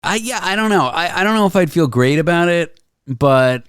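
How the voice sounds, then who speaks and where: A man in his thirties hosting a podcast, chatting casually with teasing, deadpan jokes. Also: fairly high pitch, moderate pace, clean tone, no room sound, studio quality. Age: 30s. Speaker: podcast host. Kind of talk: casual comedic chat.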